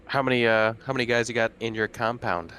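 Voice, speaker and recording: A man's voice: medium pitch, fairly even intonation, quick pace, pushed and bright, a little hiss or hum, poor audio. A man playing a tabletop game as a player, with laid-back, dry replies.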